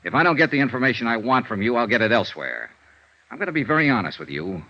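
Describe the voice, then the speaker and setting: A man's voice: mid-range voice, sing-song. An American man acting a tough, blunt, persistent insurance investigator in a vintage radio detective drama. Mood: stern, pressing. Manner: brisk, blunt, hard-boiled, pressing. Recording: dull lo-fi vintage radio recording.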